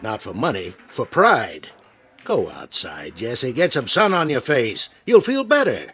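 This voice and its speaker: A man's voice: mid-range voice, wildly swooping pitch, a little slow, bright, forceful tone, husky, heavily acted. An actor voicing a weary, reproachful, fatherly older man who runs a pool hall, in a radio drama.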